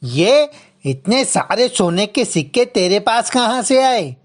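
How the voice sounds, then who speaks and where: A haughty village goldsmith from a storybook tale, acted out by a woman. He speaks slowly and theatrically, drawing out his words like a man used to being obeyed. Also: mid-range voice, big pitch swings, pitched above the performer's own voice. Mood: startled, suspicious. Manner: contemptuous, scolding. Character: angry, haughty male village goldsmith, later humbled. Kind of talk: dramatized children's story dialogue.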